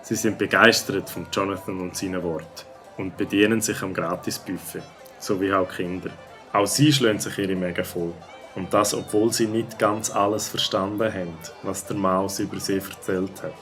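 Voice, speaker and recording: A man's voice: low voice, steady tone, moderate pace, a bit bright, faint music behind. A man narrating a scripted children's story.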